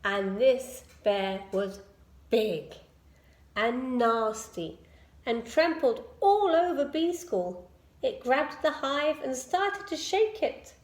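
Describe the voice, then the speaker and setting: A woman reading a children's picture book aloud in an expressive storytelling style. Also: sits high, slow pace. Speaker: storybook reader. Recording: echoey room.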